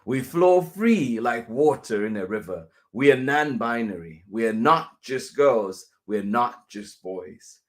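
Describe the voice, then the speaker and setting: A man reading a children's picture book aloud as a teacher would, deliberately, with pauses between phrases. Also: mid-range voice, animated.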